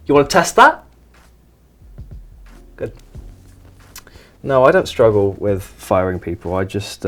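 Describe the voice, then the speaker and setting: A man hosting a painting show in a deadpan, mock documentary style, talking in a soft, relaxing low voice while rambling evasively and defensively.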